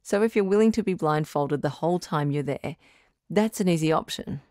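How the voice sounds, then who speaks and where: Woman acting as radio advice doctor, low female voice, frank, reassuring, conversational, dry close studio sound. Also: normal speed, darkish tone, dry recording, natural voice. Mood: reassuring, practical. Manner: encouraging, frank, matter-of-fact. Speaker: radio advice show host.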